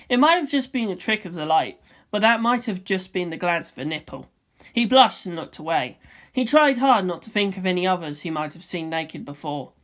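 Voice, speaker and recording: A woman's voice: lowish voice, a bit bright. A woman narrator reading fan fiction as scripted storytelling.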